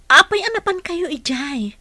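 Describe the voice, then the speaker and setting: A woman playing a practical, caring working mother in an audio drama, with a homely, gently chiding manner. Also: sits high, expressive, moderate pace, no room sound, full character voice. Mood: gently scolding.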